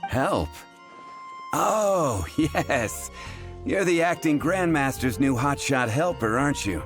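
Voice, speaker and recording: A man's voice: medium pitch, very animated, slow, music in the background. An actor playing a wry, easygoing male bartender in video game dialogue, dry and teasing. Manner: dry, teasing, matter-of-fact.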